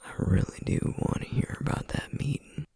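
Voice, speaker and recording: A man playing a tired, tender sorcerer boyfriend and single dad with a Southern US accent, speaking in a hushed, husky, gentle and halting way for an intimate ASMR roleplay. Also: dry recording, clean studio sound, lightly acted. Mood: sheepish, playful.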